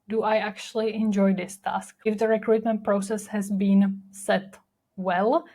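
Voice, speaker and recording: A woman's voice: mid-range voice, a little mellow. A young woman with a Czech accent, hosting a podcast and telling her own story in a candid, reflective way with frequent fillers. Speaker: podcast host. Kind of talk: personal storytelling monologue.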